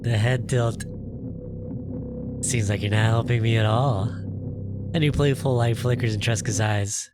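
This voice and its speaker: Male gaming host, mid-pitched, rambling and unscripted, with clear background music behind him. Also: steady tone, a little slow, pauses often. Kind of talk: casual playthrough commentary. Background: game music.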